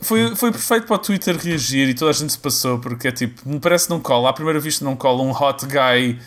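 A man's voice: mid-range voice, lively intonation, fast, a bit bright. A young man with a European Portuguese accent, chatting casually as a podcast co-host, rambling and joking with frequent restarts. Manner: rambling, self-interrupting, jokey. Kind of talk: casual chat.

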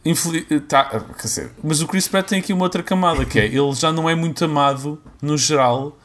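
Young portuguese guy riffing on a podcast, kinda high voice, keeps cutting himself off. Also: fairly quick, fairly bright tone. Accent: European Portuguese. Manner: rambling, self-interrupting, jokey. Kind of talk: casual chat.

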